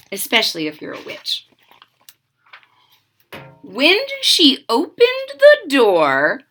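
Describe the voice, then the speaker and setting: Highly expressive woman reading a storybook aloud. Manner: addresses young listeners with questions. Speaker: storybook reader and channel host. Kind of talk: expressive children's read-aloud, with chatty asides.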